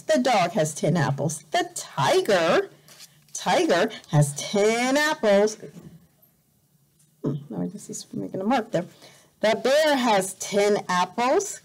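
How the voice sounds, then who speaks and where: Casting: middle-aged female teacher for a children's read-aloud, high-pitched, extremely unhurried, repeats words for learners. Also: low-quality recording. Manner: animated, deliberate, repeats words simply for learners. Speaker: volunteer teacher reading a picture book to young learners. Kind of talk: slow, expressive children's read-aloud with simple explanations. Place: indoor room at home.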